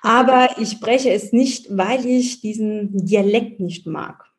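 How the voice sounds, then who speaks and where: A woman from the Palatinate, a guest in an interview, talking about her work and family with many pauses and fillers. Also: medium pitch. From Germany, Palatinate. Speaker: guest, baby-feeding advisor. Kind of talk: casual interview chat.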